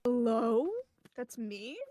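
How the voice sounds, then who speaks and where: A tabletop game player in casual chat on a call, joking with deadpan, comic fake confusion. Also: mid-range voice, slow pace, no echo, clean studio sound.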